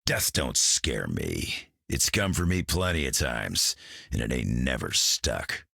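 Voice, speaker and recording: Gruff, defiant game brawler, husky growl, blunt and weary, dry sound. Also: male voice, very deep voice, very animated, slow, sharp tone, no echo, exaggerated voice. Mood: gruff defiance. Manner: blunt, folksy tough talk. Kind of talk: video game voice acting.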